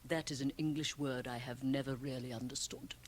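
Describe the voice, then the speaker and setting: A woman's voice: extremely low pitch, steady tone, fairly quick. A woman playing a grotesque, sly European magic-show performer in a radio drama, teasing and provocative in a theatrical manner. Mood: mocking, scornful. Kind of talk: 1980 radio drama acting. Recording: 1980 radio drama, home cassette transfer.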